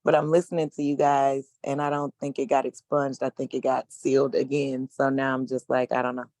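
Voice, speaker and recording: A man in his thirties giving a frank, casual personal testimony as a panelist, with slangy speech and hesitant pauses. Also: medium pitch, completely flat delivery, darkish tone, dry recording. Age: 30s.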